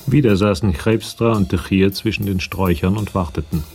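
A man reading scripted narration as the narrator of a radio crime drama, with a gravelly, husky voice. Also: deep voice, fairly quick, a little mellow, soft background music.

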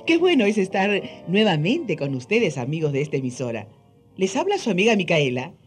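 A woman's voice: on the low side, very animated, fairly quick, soft background music. A woman co-hosting a radio programme, expressively addressing listeners in a scripted conversational style. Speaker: radio co-host.

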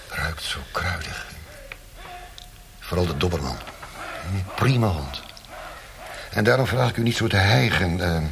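An actor in a radio drama playing a menacing secret police interrogator who rambles with false joviality in a gravelly voice. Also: male voice, low voice, noisy background. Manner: falsely jovial, digressive, unhurried.

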